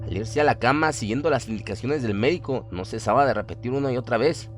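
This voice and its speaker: A man's voice: mid-range voice, quick pace. A man narrating a scripted horror story in a dramatic radio style. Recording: prominent music under the voice. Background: music.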